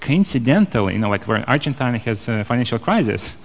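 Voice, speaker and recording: A man's voice: medium pitch, very animated, fast talker. A man in his thirties or forties from Lithuania speaking off the cuff as a conference panelist, with a hesitant, repetitive delivery. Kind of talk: panel discussion, off-the-cuff argument. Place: indoor conference stage. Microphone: handheld microphone. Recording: reverberant hall through handheld microphone.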